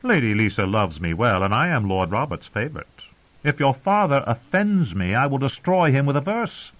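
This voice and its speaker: Through a thin, phone-like band comes a drunken young minstrel, acted by a man, pitched high and swooping. He is oily and lecherous, wooing in florid storybook fashion. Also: sing-song, moderate pace, close to an everyday voice. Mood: boastful. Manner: florid, overblown courting. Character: lecherous, flowery young male singer, drunken and wheedling.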